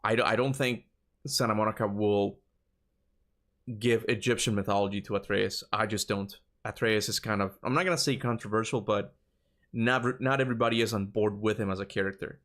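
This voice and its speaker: A man's voice: fairly low pitch, steady tone, a little fast, a bit bright. A man streaming a video game, chatting casually, thinking aloud, repeating himself and laughing easily. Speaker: gaming streamer. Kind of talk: casual livestream commentary.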